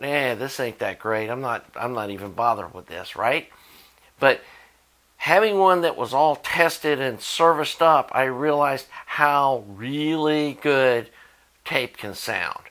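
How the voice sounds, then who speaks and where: A man's voice: medium pitch, sharp tone. A middle-aged man with an American accent, explaining things in a casual, conversational, informal way to camera as host of a repair channel. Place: indoor workshop at a workbench.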